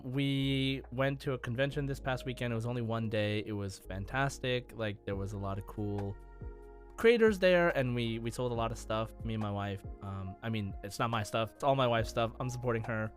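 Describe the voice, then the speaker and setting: A man's voice: mid-range voice, expressive, moderate pace, faint music behind. A man hosting a podcast in a casual, conversational style, explaining things as he goes. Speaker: podcast host.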